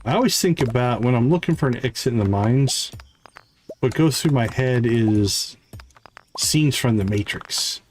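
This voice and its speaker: A man streams a video game with lively, swinging intonation at a middling pitch. He chats playfully to himself in a casual gameplay commentary style, with faint clicks and typing noise underneath. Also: unhurried. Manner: playful, talks to himself, chants little sound words. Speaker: livestreamer playing a video game.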